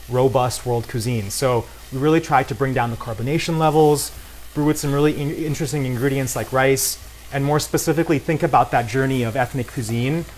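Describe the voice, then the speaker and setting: Male guest cook, medium pitch, upbeat promotional delivery, casual demo style, sizzle behind the voice. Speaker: guest cook and beer brand co-founder. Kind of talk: casual cooking demonstration talk. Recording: clear noise of frying and sizzling. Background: sizzling pan in a cooking demo.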